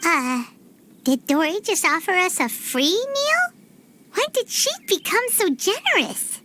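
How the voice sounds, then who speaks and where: A woman voicing a small, excitable, childlike fairy companion in a video game, with a squeaky, cutesy delivery. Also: measured pace, a little hiss or hum, full character voice. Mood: indignant, flustered. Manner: third-person self-reference, cutesy. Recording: loud music behind.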